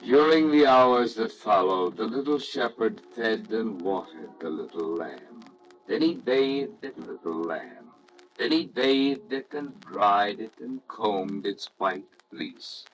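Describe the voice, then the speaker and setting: A man narrating a scripted story in a classic radio reading style, expressive, measured and reverent. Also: fairly low pitch, faint music behind, rough recording.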